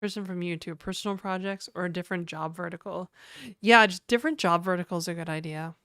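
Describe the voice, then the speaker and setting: A Canadian woman streaming live, chatting casually and jokingly with her viewers in a somewhat hoarse voice. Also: fast, fairly bright tone, no room sound, clean studio sound. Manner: rambling, joking, slips into mock French and a put-on high e-girl voice.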